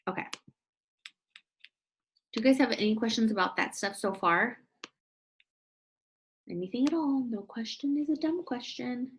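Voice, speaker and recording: A woman's voice: fairly high pitch, moderate pace, long pauses, a little hiss or hum, low-quality recording. Chatty young woman on a video call. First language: English. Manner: chatty and upbeat, often saying "you guys". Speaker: team leader training sales distributors. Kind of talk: casual online training call.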